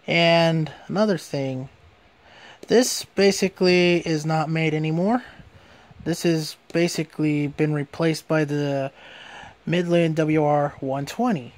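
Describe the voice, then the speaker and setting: A man's voice: on the high side, fairly even intonation, slow. A man giving a casual, hands-on product review in an informal way, often repeating and rephrasing what he says. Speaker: gadget reviewer.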